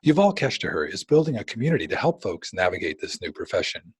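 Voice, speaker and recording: Husky-voiced male podcast host. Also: deep voice, sing-song, fast talker, no echo. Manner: eager, friendly, restarts sentences. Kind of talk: scripted intro then casual interview.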